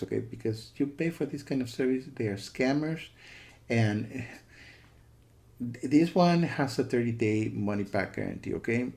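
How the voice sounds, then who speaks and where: Man, software reviewer, mid-pitched gravelly voice, disgruntled, emphatic, repetitive, casual delivery. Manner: disgruntled, emphatic, repeats himself, checks in with "okay?". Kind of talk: casual spoken product review.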